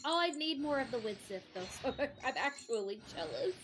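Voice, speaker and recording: Very high, lively young woman gamer. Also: a little fast, slight background noise. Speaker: gaming friend on stream. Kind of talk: casual chat while gaming. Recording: music behind the voice. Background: music.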